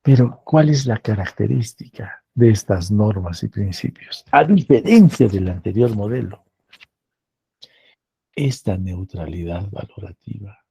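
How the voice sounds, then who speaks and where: Slow, gravelly Peruvian professor. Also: male voice, lowish voice, expressive, dark tone, no echo. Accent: Peruvian Spanish. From Peru. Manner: slow, deliberate, repeats phrases for emphasis. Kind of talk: lecture.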